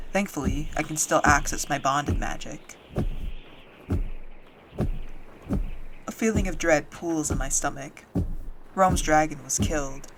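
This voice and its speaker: A woman playing a wry dragon-riding soldier, narrating a fantasy audio drama in the first person in a measured storytelling way. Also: low voice, noisy background, natural voice. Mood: dread.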